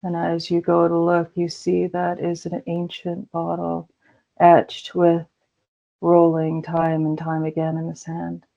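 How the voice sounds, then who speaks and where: A woman guides a meditation in a slow, unhurried voice with a lot of vocal fry. Her tone stays low, soft and mellow, with little rise and fall. The recording sounds dull and lo-fi. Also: completely flat delivery. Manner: slow, soothing, gentle pauses.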